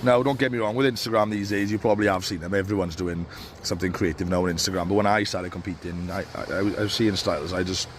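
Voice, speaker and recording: A man's voice: low voice, steady tone, quick pace. A man with a cold, giving casual, rambling advice to barbers from a beach. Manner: rambling, with many fillers and restarts. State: stuffy with a cold. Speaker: barber coach. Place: outdoors on a beach. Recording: faint outdoor noise.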